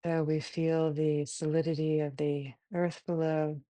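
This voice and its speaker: Female meditation guide, mellow and even, gentle short phrases with long pauses, close dry sound. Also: deep voice, no echo. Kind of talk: guided body scan meditation.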